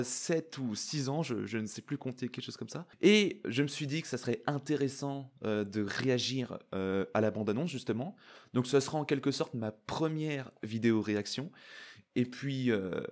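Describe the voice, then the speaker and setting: A man giving casual, excitable, slangy reaction commentary. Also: medium pitch. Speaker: YouTuber reacting to and analysing a trailer.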